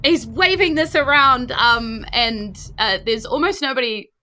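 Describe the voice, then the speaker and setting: A woman narrating a tabletop game as game master in a casual, improvised, animated way. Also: on the high side, measured pace. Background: faint music.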